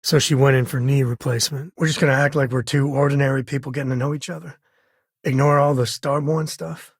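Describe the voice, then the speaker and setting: A rugged, folksy spacefaring cowboy for a video game. His American voice is heavily husky and sits at a medium pitch, with a wry, protective fatherly manner. The sound is dry, with no room on it. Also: steady tone, fairly quick, no echo. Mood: wry, easygoing. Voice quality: husky, rasping. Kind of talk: video game character dialogue.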